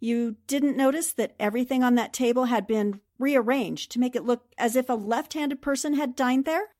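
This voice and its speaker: A woman's voice: fairly high pitch, normal speed, a little mellow, natural voice. A woman playing a shrewd, self-assured newspaperwoman sleuth in 1940s radio mystery style, explaining things precisely and methodically. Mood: composed.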